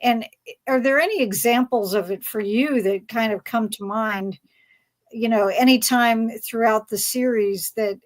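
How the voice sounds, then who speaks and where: A woman moderating a fan panel discussion, putting questions to the panelists in a halting, rambling, conversational way. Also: on the high side.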